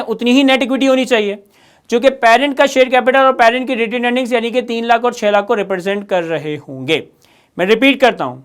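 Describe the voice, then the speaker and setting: A man's voice: very high voice, clear, resonant tone. A man with a Pakistani accent giving an instructional lecture, explaining step by step with emphatic repetition. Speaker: accounting lecturer.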